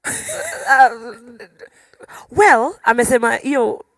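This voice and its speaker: A woman with a Kenyan accent talking casually as a musician guest on a panel, telling stories in an animated way. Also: sits high, very slow, no echo, low-quality recording. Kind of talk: casual conversational panel talk.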